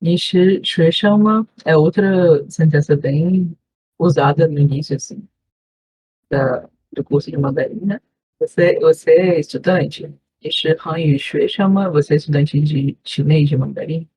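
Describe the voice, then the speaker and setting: A woman's voice: sits low, measured pace, mellow, breathy edge, no echo, low-quality recording. A woman with a Brazilian Portuguese accent, a volunteer teacher giving an online class review, explaining patiently and checking in often with her students. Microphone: video call.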